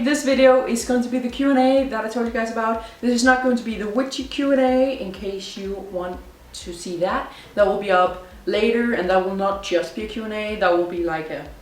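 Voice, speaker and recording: A woman's voice: a little high, distant mic. Chatty young woman in an echoey room. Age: 20s. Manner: chatty, rambling, with many fillers and self-corrections. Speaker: vlogger. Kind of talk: casual chat. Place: indoors at home.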